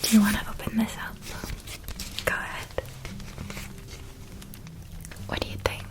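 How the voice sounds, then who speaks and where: Woman as a softly whispering, gentle witch guide. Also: lightly acted. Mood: gentle, helpful. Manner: hushed, soothing, unhurried storytelling. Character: gentle, reassuring witch guide helping a young academy student. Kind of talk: whispered ASMR roleplay.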